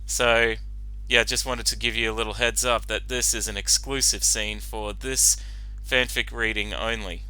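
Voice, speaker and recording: A man giving casual reaction commentary as host, talking in a halting, rambling way with lots of filler phrases. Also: on the low side, steady tone, pushed and bright, clear, resonant tone, dry recording, poor audio. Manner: halting, rambling, frequent "you know". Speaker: host giving commentary on a fan-fiction reading.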